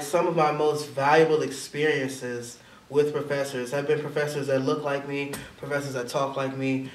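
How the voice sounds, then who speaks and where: A young adult man, a college student, speaking reflectively about his own experience in an interview. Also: medium pitch. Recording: echoey room, distant mic.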